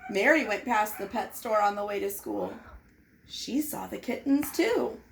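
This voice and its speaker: A woman reading a children's storybook aloud in an expressive, deliberate, dramatized way. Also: mid-range voice, vocal fry, poor audio. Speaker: storybook reader. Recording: noticeable background noise.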